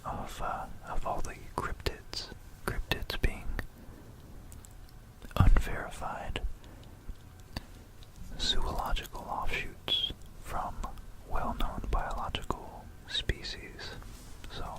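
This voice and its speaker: Slow, whispering ASMR history explainer. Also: poor audio. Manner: whispering throughout, unhurried and explanatory. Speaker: ASMR host. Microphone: close stereo binaural microphone.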